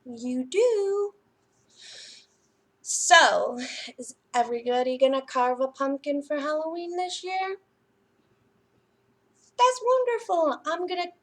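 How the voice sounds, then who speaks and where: A woman hosting a children's story time, reading and explaining crafts in an expressive, sing-song manner for young listeners. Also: high voice. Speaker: library story time host. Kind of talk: children's picture book reading and craft demonstration.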